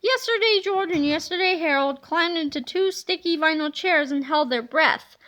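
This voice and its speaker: Lady reading a kids book out loud, high bright voice, very expressive. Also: moderate pace. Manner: lively, expressive storytelling. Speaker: read-aloud narrator. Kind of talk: reading a children's book aloud.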